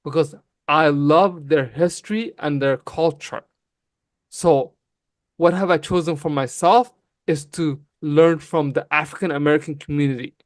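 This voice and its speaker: Somali-native man, raised in Canada, heated debater, somewhat high voice, dry close sound. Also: dry recording. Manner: emphatic, fast, calls people bro. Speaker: guest on a livestream debate. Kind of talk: heated casual argument.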